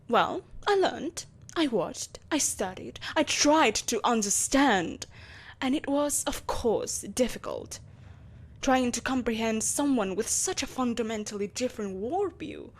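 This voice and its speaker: A woman voices an all-powerful evil empress in an audio drama monologue. Her voice sits fairly high, and her delivery is haughty, theatrical and formal, that of a possessive, seductive tyrant who has ruled for centuries. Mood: intrigued, possessive. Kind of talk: scripted audio roleplay monologue.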